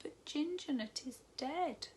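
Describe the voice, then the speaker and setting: A woman's voice: sits high, animated, takes it slowly, slight background noise, voice pushed up. A woman voicing a sad, hungry young schoolgirl who speaks sheepishly and mumbles.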